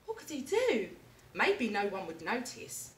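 Expressive young woman reading a picture book. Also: medium pitch, unhurried. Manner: lively, expressive storytelling. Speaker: primary school teacher. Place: classroom reading corner, indoors. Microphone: camera at a distance. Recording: echoey room, mic far off.

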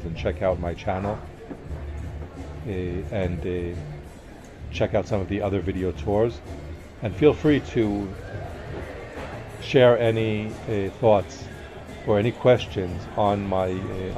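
A man narrating a casual walking tour outdoors as a tour guide, relaxed and full of fillers and restarts. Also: deep voice, measured pace, over music. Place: outdoor market compound with wooden walkways.

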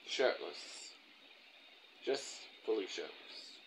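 A man's voice: lowish voice, expressive, drawn-out words, rough recording. Bossy young man on a webcam. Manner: bossy, giving orders to someone off camera. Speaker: vlogger. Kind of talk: rambling webcam vlog. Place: small dim room indoors. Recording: clear background noise.

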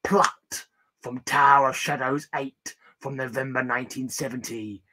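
A man's voice: mid-range voice, fairly even intonation, measured pace, bright, forceful tone. A man hosting a horror story show, speaking in a teasing, theatrical way. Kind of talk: spooky theatrical host narration.

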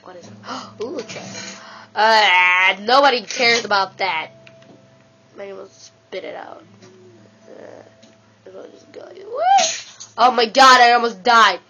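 A woman's voice: on the high side, slow pace, long pauses, a little hiss or hum. Stuffy-nosed woman rambling over a game. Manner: rambling, excitable, talks to herself. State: stuffy nose. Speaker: let's play gamer. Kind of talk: casual game commentary. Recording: dull lo-fi with game music.

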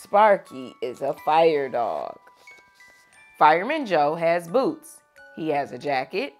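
Young woman, children's read-aloud host. Unhurried, teacherly, very animated intonation, music behind. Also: low-pitched. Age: young adult. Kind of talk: expressive storybook read-aloud and chat to children. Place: small furnished room indoors.

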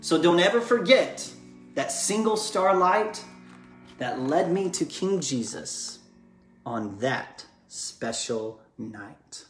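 American dad in an echoey living room. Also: male voice, fairly high pitch, expressive, slow pace, faint music behind. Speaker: father and children's book author reading aloud. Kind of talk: bedtime story reading and casual chat to viewers. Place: living room at home, indoors. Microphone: camera or phone propped just beyond arm's length.

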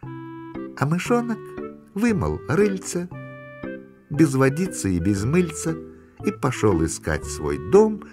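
Slow, expressive male storyteller. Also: fairly high pitch, music in the background. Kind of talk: rhymed fairy-tale narration for children.

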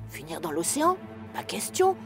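A woman's voice: high voice, big pitch swings, moderate pace, vocal fry, over music, exaggerated voice. A woman voicing a mischievous young boy in a cartoon who boasts about his scheme. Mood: scheming. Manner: sly, boastful.

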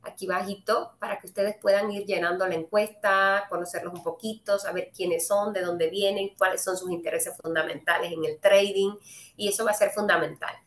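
A woman's voice: mid-range voice, fairly even intonation, normal speed, roomy sound. A woman from Cuba with a Cuban Spanish accent, hosting a live trading webinar, talking to viewers in a friendly way with many "eh" fillers. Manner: friendly, addresses viewers directly, frequent "eh" fillers. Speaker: webinar host and trading speaker.

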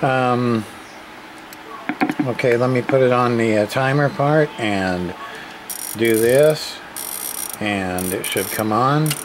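A man's voice: mid-range voice, slow pace, pauses often. A man casually narrating a hands-on radio repair demonstration in terse, offhand, folksy fragments. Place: indoor home workshop bench. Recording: noisy room with radio static. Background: CB radio noise.

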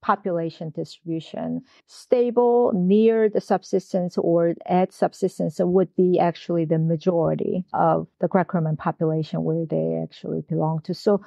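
Woman academic guest, mellow and low, hedging and restarting, muffled lo-fi sound. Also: steady tone, normal speed, creaky, hardly any pauses, no echo. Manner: hesitant, many fillers and restarts. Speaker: guest scholar, history professor. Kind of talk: academic interview answers.

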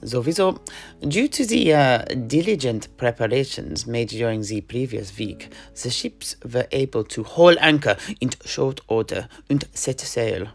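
A man's voice: mid-range voice, unhurried, creaky, faint music behind. A man narrating a family tale in an audio drama, rambling with frequent fillers. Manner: relaxed, rambling storytelling with many fillers. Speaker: storyteller guest.

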